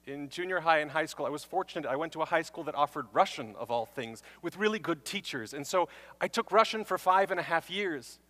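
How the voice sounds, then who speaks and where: A young man gives a stage talk in a bright, forceful voice. He tells his stories in an animated, self-mocking way, with a long reverb trailing behind him. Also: fairly high pitch, brisk. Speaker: speaker.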